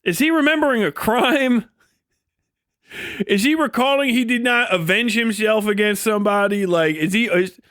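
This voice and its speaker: A man live streaming, rambling comedically and casually to his chat with lots of swearing, folksy slang and animated, exaggerated storytelling. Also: high-pitched, measured pace, smooth, no room sound, studio quality. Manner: profane, folksy slangy phrasing.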